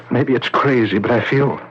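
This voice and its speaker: An actor playing a tormented, possessed husband in an intense, halting 1970s radio drama style, with a husky voice. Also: male voice, mid-range voice, background noise, natural voice. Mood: relieved, tender. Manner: intense, halting, repeating phrases. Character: tormented husband convinced a murderous spirit possesses him. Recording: dull vintage radio recording.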